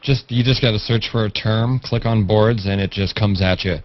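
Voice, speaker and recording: A man's voice: on the low side, fairly even intonation, moderate pace. Jokey man on a muffled video call. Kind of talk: casual group chat. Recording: dull lo-fi video call audio.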